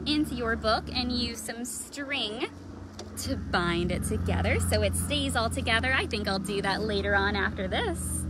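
A woman in her thirties, a children's librarian, reading aloud and chatting to young children in an animated sing-song way, recorded outdoors at arm's length from the device. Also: on the high side. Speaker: children's librarian hosting storytime. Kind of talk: read-aloud and chat addressed to young children. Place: outdoors in a leafy backyard. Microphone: device mic at arm's length. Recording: outdoor background noise.

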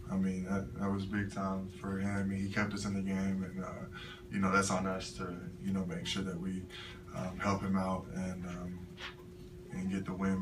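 A young man, a college basketball player, answering press questions after a loss with measured, halting answers full of fillers. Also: deep voice. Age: young adult. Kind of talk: postgame press conference answers. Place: press room with sponsor backdrop. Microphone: table mic, recorded by a camera from the floor. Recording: echoey room from far off, noisy.

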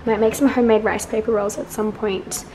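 A woman, hoarse from losing her voice, chatting casually to a camera held at arm's length. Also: mid-range voice, little pitch movement, fairly quick. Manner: chatty, bubbly, rambling. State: hoarse, lost voice. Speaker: vlogger. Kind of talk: casual vlog chat. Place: car and busy restaurant. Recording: loud crowd and road noise.

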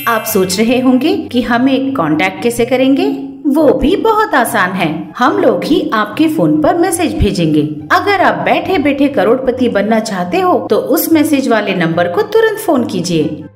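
A woman voicing a female TV news anchor in a cartoon, pitching riches in a persuasive, salesy way. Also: big pitch swings, measured pace. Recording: roomy echo, music underneath.

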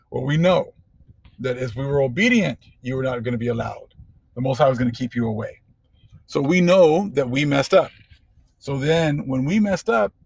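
A man's voice: medium pitch, vocal fry, breathy edge, no echo, low-quality recording. A man teaching scripture in a casual preaching manner, often checking in with listeners as he reads from a book page. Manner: conversational preaching with frequent "okay?" and "you know". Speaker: religious teacher.

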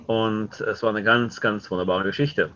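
Middle aged guy chatting about his work, very bright voice, dull lofi call sound. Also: lowish voice, fairly even intonation, normal speed, slight background noise. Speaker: interview guest, scriptwriter and director. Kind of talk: casual anecdotal chat. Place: indoor home room, video call. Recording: dull, lo-fi video call audio.

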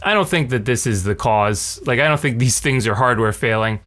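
A man in his thirties talking casually to camera as a tech video host, with a sardonic, animated ranting manner. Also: mid-range voice, moderate pace. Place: small cluttered home room. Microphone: large condenser mic on a stand beside him.

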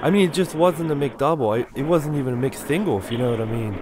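A young man making a prank phone call as a confused customer, hesitant, bumbling and deadpan. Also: medium pitch, quick pace, noisy background. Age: young adult. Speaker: prank caller. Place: small cluttered room indoors. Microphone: microphone on a stand, close.

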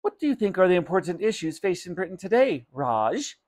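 A man's voice: sits high, big pitch swings, a little fast, a little mellow, pitched above the performer's own voice. A man voicing a pushy, self-important mother in a children's storybook, insistent and pompous.

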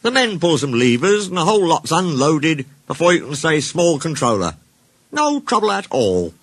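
A man voicing a small, grumpy steam engine in a children's storybook, rude and quick-tempered, with a blunt grumbling manner. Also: fairly high pitch, very animated, breathy edge, dry recording, pitched above the performer's own voice, full character voice. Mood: blunt, boastful.

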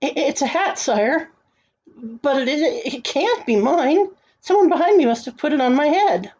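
A woman's voice: sits high, very animated, moderate pace, darkish tone, slightly rough, highs cut off, pitched above the performer's own voice, heavily acted. A woman voicing a timid, polite, bewildered peasant boy in a storybook, stammering nervously. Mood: flustered, bewildered. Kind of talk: storybook character acting.

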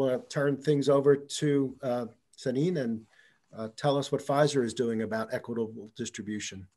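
A man moderating a webinar, introducing the next speaker in a relaxed, chatty way. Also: medium pitch.

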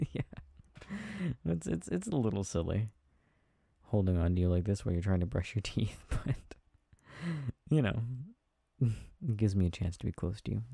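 A man playing an affectionate, tired boyfriend in an intimate ASMR roleplay, chatting softly and hesitantly with a playful, teasing manner. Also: on the low side, animated, normal speed, creaky, long pauses, husky, no room sound, studio quality, full character voice. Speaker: audio roleplay performer.

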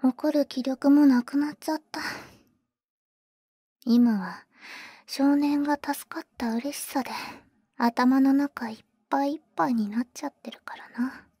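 A woman acts out a protective big-sister vampire for an ASMR audio drama. Her high voice is breathy and often drops to a whisper, with slow, halting, tsundere phrasing that is blunt yet tender. She is very close to the microphone, with a completely dry sound. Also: steady tone, dark tone, no echo. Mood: relieved, soft. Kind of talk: Japanese ASMR roleplay, intimate whispering.

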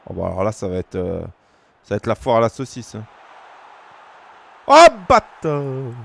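A man in his thirties from France, streaming a football game and chatting casually with viewers, breaking into shouts at big moments. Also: lowish voice, normal speed, gravelly. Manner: excitable, shouts at goals, chats casually with viewers. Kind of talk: live gaming commentary to chat. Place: small room at home.

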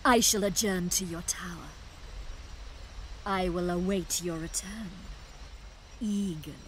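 A woman's voice: fairly low pitch, slow, a bit bright, a little hiss or hum, low-quality recording. A sultry devoted woman.

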